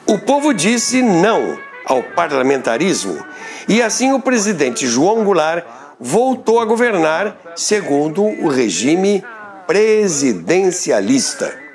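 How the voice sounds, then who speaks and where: Man narrating with a lively, varied pitch. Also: slow pace, music in the background. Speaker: radio history narrator. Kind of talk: scripted documentary narration.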